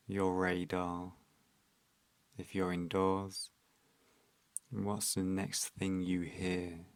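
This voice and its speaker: Male meditation guide: calm and soothing, extremely monotone delivery, very dry close recording. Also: low-pitched, clear, resonant tone, no room sound. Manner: calm, soothing, instructional, with long pauses.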